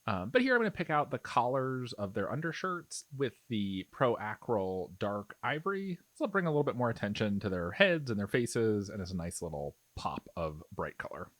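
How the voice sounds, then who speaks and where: A man's voice: on the low side, very animated, normal speed, hardly any pauses, fairly bright tone, no room sound. A man giving a casual hobby tutorial as an instructor, explaining things in an easygoing, reassuring way. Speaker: miniature painting instructor.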